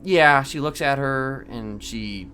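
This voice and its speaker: A man in an improvised in-character roleplay conversation, talking haltingly and often restarting his phrases. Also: medium pitch, bright, forceful tone, faint music behind. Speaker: roleplay game player.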